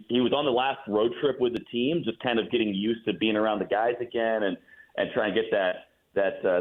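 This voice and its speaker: An American man from the Midwest, a play-by-play announcer giving a casual sports interview with animated, joking phrasing. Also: mid-range voice. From Midwestern United States. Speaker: radio play-by-play announcer, guest. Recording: thin phone-like line.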